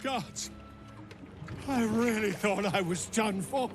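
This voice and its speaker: An actor playing an excitable, flamboyant and cowardly storyteller in a video game, theatrical and self-important. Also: male voice, high voice, takes it slowly, music in the background. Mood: relieved, conspiratorial. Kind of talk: scripted video game acting.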